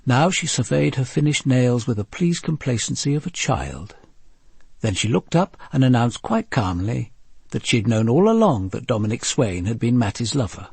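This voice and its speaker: An actor playing a grave, probing senior police detective, narrating a radio drama with a gravelly, husky voice. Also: male voice, mid-range voice, very animated, normal speed, a little mellow, no echo, exaggerated voice. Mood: measured recounting. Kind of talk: dramatic scripted first-person narration.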